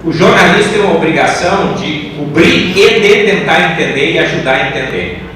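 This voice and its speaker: A man's voice: on the high side, expressive, fairly quick, a bit bright. A Brazilian man, a professor on a panel, explaining his points emphatically and didactically. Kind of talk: panel discussion answer. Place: large echoing room at a university event. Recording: very echoey room, distant mic, clear noise.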